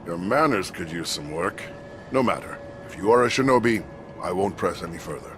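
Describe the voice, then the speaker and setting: A formal samurai guard from a game cutscene. His lowish voice moves freely in pitch, with a wistful, musing streak. Background ambience is clearly audible. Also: lively intonation, fairly quick, noisy background. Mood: formal, inquisitive. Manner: formal, musing, breathy murmurs rising to firm speech. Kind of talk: video game cutscene acting.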